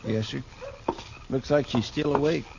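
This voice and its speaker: A man's voice: medium pitch, fairly even intonation, a little slow, vocal fry. A man playing a loyal, eager deputy in a radio western, folksy and deferential, with an American accent. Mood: chatty, curious. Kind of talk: 1950s radio western acting. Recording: noisy, dull old radio recording.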